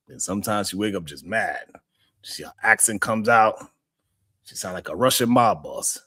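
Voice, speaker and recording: A man's voice: on the low side, unhurried, long pauses, sharp tone, husky, dry recording. A man hosting a live stream in casual chat, telling loose slangy stories and laughing often.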